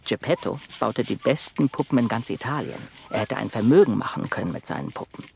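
An actor narrating a children's radio play as a wry, childlike woodworm, telling the tale expressively. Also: male voice, medium pitch, creaky, a little hiss or hum. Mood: storytelling. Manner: unhurried, expressive storytelling.

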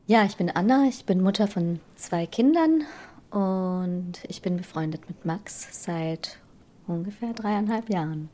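Woman, mother, mid-pitched, thoughtful and candid interview delivery. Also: expressive, a little slow, mellow. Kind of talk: documentary interview.

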